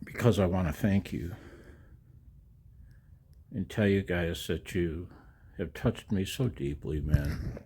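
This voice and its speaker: An older man hosts a casual video with a creaky, gravelly voice on the low side. He takes his time, speaking very slowly and haltingly. His rambling, folksy delivery is aimed straight at his viewers. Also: warm tone. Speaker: amateur radio operator and video host. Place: outdoors in the cold.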